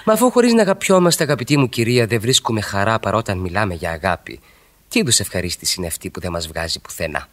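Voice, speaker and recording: A man's voice: fairly low pitch, animated, lightly acted. An actor playing a witty, ironic married man who flirts by argument in a Greek radio play, with articulate, theatrical delivery. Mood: provoking.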